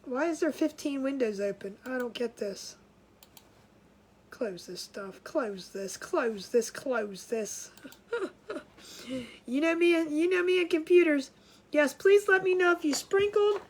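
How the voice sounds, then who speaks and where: A woman's voice: high-pitched, lively intonation, a little slow, long pauses. A woman hosting a casual craft livestream from home, sounding nasal and congested, chatty and scattered, with frequent laughs and restarts.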